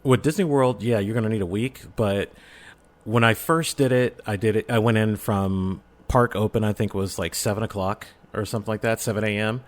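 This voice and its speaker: A man's voice: lowish voice, brisk. A middle-aged man chatting casually as a podcast guest and telling personal stories with enthusiasm. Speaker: podcast guest, audio drama creator. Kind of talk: casual chat, personal storytelling.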